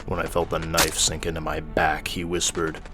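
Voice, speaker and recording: A man's voice: extremely low pitch, completely flat delivery, moderate pace, music in the background. A man giving a grave, theatrical narration of scripted horror stories.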